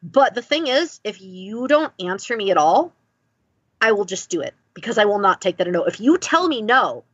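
A woman's voice: medium pitch, sing-song, moderate pace, a bit bright, husky, no echo, highs cut off. A woman co-hosting a podcast, chatting casually in a blunt, informal way. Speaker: podcast co-host.

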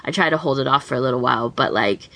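A woman's voice: a bass voice, lively intonation, quick pace, creaky, pushed and bright, no room sound. A woman podcast co-host chatting casually and informally as she gives recommendations, often breaking off and rephrasing. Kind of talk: casual chat, giving recommendations.

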